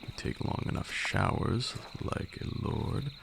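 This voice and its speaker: A man playing an ancient, haughty vampire lord in an ASMR roleplay, a condescending mentor with formal, archaic speech and a gravelly voice. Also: measured pace, full character voice. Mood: knowing, teasing. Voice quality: very deep, gravelly. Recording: steady noise with night insects. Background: crickets and night insect ambience.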